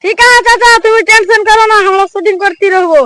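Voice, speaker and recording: A woman's voice: very high-pitched, fast talker, a bit bright, no room sound. A woman playing a cocky, reassuring young village nephew in a comedy skit, with music behind.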